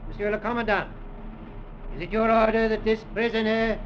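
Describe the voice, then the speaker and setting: An actor playing a proud, sadistic French prison executioner in a theatrical, formal 1940s radio drama style. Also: male voice, high voice, flat delivery, brisk. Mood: gloating, ceremonious. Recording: muffled, noisy old radio recording.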